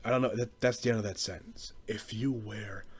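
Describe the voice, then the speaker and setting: A man's voice: fairly low pitch, steady tone, a little fast, breathy edge. Rambling, slangy young American man. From southeastern Pennsylvania, US. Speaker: podcast host. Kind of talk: casual comedic rant, storytelling.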